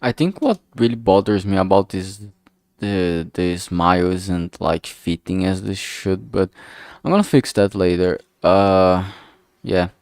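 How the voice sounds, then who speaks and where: A streamer talking casually while working, thinking aloud and muttering in a halting way. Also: low voice, darkish tone, no room sound, clean studio sound.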